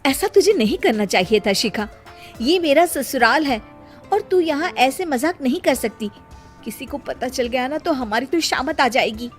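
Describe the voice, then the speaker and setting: A woman voicing a sensible, worried married elder sister who admonishes fondly in an animated story. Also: high-pitched, moderate pace, faint music behind. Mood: scolding, worried.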